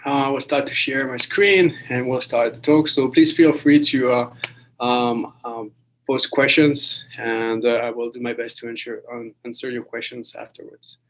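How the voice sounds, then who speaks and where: A man's voice: medium pitch, fairly even intonation, normal speed, slight background noise, rough recording. A man from France, giving a webinar lecture over a video call, with many fillers and restarts. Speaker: guest lecturer.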